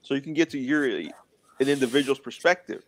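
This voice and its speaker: A man's voice: medium pitch, expressive, quick pace, low-quality recording. A man in his twenties, a guest in a casual interview, talking in an earnest, plainspoken way. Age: 20s.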